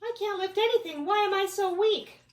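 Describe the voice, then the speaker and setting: Woman voicing a high, whiny little stink bug. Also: a little fast. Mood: whiny, despairing. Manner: whiny, exclaiming. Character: small smelly male stink bug, dramatic and self-pitying. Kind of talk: children's storybook read-aloud. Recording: echoey room, distant mic, background noise.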